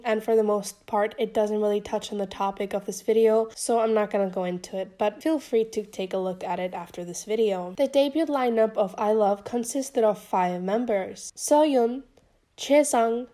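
A woman's voice: fairly high pitch, warm tone. A young woman YouTuber narrating a scripted commentary in a casual, chatty way, her voice still a bit off from being sick.

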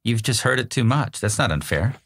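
A man's voice: medium pitch, expressive, brisk, gravelly, fairly bright tone, slightly rough. A man giving plainspoken opinions as a panelist in a casual literary discussion.

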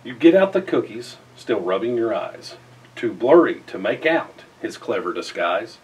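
A middle-aged man reads a rhyming children's picture book aloud in an expressive, theatrical way with emphatic phrasing. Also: fairly low pitch, a little slow, husky, distant mic, rough recording. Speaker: storybook reader. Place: indoors in front of a festive backdrop.

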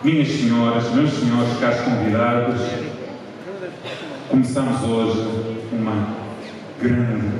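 A man's voice: medium pitch, steady tone. A man giving a formal, ceremonious opening speech as a ceremony speaker. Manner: ceremonious, deliberate. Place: theatre stage, heard from the audience. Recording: echoey hall from far, crowd noise.

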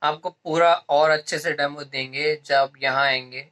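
Young male shop-tour vlogger, cheerful, phone at arm's length, bright tone. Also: fairly high pitch, fairly even intonation, a little slow. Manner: upbeat, mixes English into Hindi. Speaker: vlogger showing his shop. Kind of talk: casual vlog chat. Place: inside a small electronics shop.

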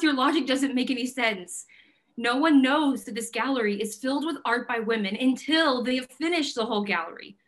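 An actress plays a high-voiced woman who runs a gallery with fire and conviction. She is assertive and bossy, leaning on her words as in a stage play read over a video call. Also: a bit bright, close to an everyday voice. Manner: assertive, emphatic, declarative. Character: fiery, bossy, idealistic woman gallery owner. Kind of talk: virtual staged play reading. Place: plain indoor room, video call.

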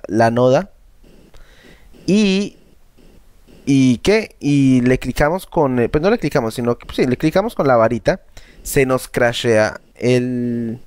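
A man's voice: medium pitch, dry recording. A man with a Colombian accent giving casual, joking commentary over his gameplay and talking straight to his viewers. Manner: chatty, joking, addresses viewers as buddies. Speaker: gaming YouTuber.

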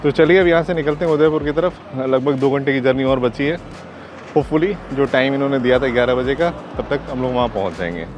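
A man's voice: medium pitch, brisk, noisy background. Chatty male travel vlogger outdoors. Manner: chatty, gives tips straight to camera. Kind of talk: casual travel vlog narration. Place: outdoors on city streets and a rooftop. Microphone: clip-on lapel mic.

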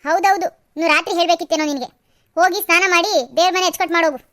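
A woman's voice: very high voice, fairly even intonation, very fast, dry recording, studio quality. A woman voicing a stern middle-aged mother-in-law who nags and scolds, in an audio drama. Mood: scolding. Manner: nagging, sharp scolding.

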